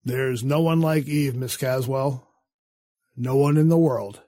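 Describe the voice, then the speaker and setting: A suave man of the world in an audio drama played by an actor. His voice is mid-pitched and very dry in sound, with formal, urbane phrasing and a sly, knowing irony. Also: takes it slowly, dark tone, dry recording, lightly acted. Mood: smooth, ironic. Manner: urbane, formal, drily insinuating.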